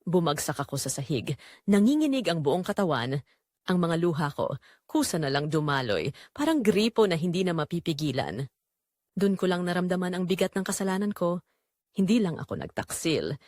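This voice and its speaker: A woman's voice: fairly low pitch, sing-song, moderate pace, darkish tone, dry recording, clean studio sound. A woman storyteller reading a scripted first-person romance drama in a dramatic narrating style.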